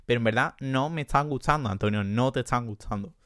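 A young man with an Andalusian accent hosting a casual solo podcast from a bedroom, chatty and self-mocking, quick to laugh. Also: medium pitch, fairly bright tone, no room sound, studio quality. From Andalusia, Spain. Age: young adult. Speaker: podcast host. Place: his sister's bedroom.

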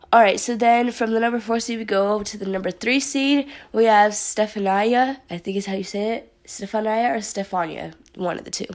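A young woman hosts her own podcast in a loose, chatty way, leaning on plenty of fillers. A sore throat leaves her voice slightly raspy with a gravelly edge. Her pitch sits in the middle for a woman. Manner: chatty, upbeat, filler-heavy. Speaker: podcast host. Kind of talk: casual solo podcast talk.

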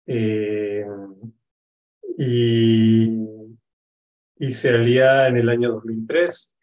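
A man in his sixties from Argentina with an Argentine accent, speaking as an interview guest on a video call from his home office, in a measured, reflective way with many hesitations. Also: mid-range voice, darkish tone, dull audio. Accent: Argentine Spanish. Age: 60s. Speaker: guest, education expert. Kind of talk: interview conversation.

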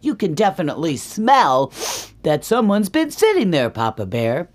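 Lady doing mama bear in a kids story, indignant, emphatic, voice jumps up high. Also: huge pitch swings, moderate pace.

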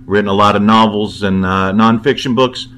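A man giving casual spoken film commentary in a halting, conversational and opinionated way. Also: lowish voice, steady tone, fairly bright tone. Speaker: writer, guest film commentator.

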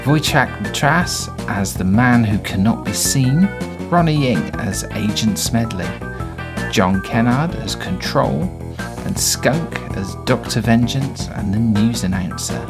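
A man's voice: mid-range voice, expressive, takes it slowly. A man hosting a podcast, reading a scripted outro and credits. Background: plucked guitar music.

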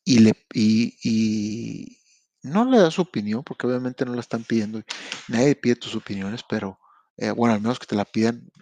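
A man's voice: medium pitch, normal speed, mellow, no room sound. A man from Mexico with a Mexican accent, hosting a podcast and giving frank, slangy advice straight to his listeners, with frequent restarts. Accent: Mexican Spanish. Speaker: podcast host. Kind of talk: casual motivational podcast monologue. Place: lives in Canada.

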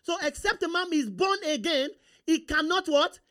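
A man with a Nigerian accent, Yoruba his first language, preaching a sermon. He talks in a fairly high voice and keeps throwing questions at the congregation, prompting them to answer and confirm they are following. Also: lively intonation, normal speed, bright, forceful tone. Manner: call-and-response, rhetorical questions to the congregation.

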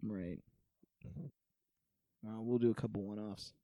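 A man's voice: fairly low pitch, steady tone, a little slow, warm tone, no room sound. A man chatting casually and jokingly as he co-hosts a movie commentary. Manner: joking, laughs often. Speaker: co-host of a film watch-along commentary.

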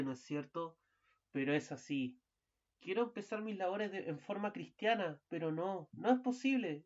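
A woman acting an exasperated, fussy husband in an amateur radio play, bickering and at times shouting. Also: medium pitch, close to an everyday voice. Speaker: student radio drama actor. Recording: muffled lo-fi recording.

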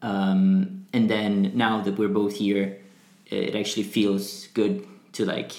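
A man's voice: fairly low pitch, flat delivery, slow pace, darkish tone, reverberant. A young man from Brazil whose first language is Portuguese, chatting casually as a podcast guest, with lots of fillers and restarts. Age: young adult. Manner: rambling, many fillers and restarts. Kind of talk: casual conversational interview.

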